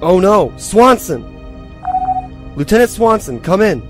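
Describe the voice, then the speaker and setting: A man plays a formal, matter-of-fact starship officer in a science fiction audio drama. His voice sits a little high and sounds earnest. Music is clearly heard behind him. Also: big pitch swings, measured pace. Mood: urgent.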